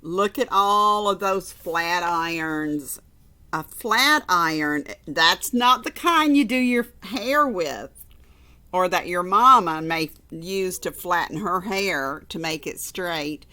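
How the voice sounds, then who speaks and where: A woman's voice: fairly low pitch, slow pace, pushed and bright. A woman reading a storybook aloud to children, informal and conversational, with chatty asides and repeated phrases. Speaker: storybook reader.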